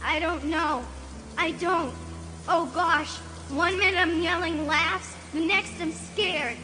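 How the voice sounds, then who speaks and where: A lost, ghostly young boy, very high and bright, pleading slowly and haltingly. The voice rings in a long cavernous reverb. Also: steady tone, slight background noise, exaggerated voice. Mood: scared, pleading. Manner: slow, halting, plaintive. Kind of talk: dramatized audiobook radio theatre acting.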